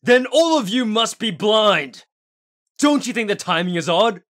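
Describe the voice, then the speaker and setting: A man's voice: sits high, animated, unhurried, a bit bright. Man acting an intense young werewolf heir. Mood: outraged. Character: grieving, defiant young male werewolf heir. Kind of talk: dramatic roleplay voice acting.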